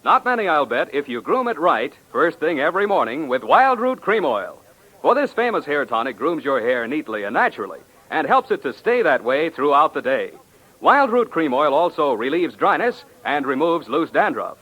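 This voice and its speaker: A man's voice: on the high side, big pitch swings, moderate pace, pushed and bright, poor audio. A man with an American accent reading 1940s radio advertising as a polished commercial announcer. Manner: polished salesmanship.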